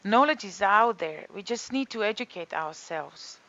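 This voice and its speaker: A woman with a Croatian accent speaks at a middle pitch, earnest and steady as she introduces a yoga and detox course. She keeps returning to her key phrases and fills gaps with soft ums. The recording is slightly dull and lo-fi. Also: moderate pace.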